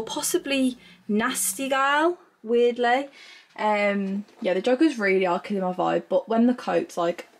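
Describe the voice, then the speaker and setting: A woman in her twenties with a British accent, chatting casually to camera in a gushing, informal vlog style at home. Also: fairly high pitch. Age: 20s. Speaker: vlogger. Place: small room at home, indoors.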